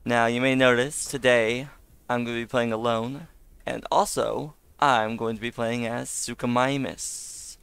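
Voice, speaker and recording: A man with a raspy voice, hoarse from being sick, giving casual gameplay commentary, chatty, laughing often, with sudden excited outbursts. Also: mid-range voice, measured pace, dry recording. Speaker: gaming YouTuber.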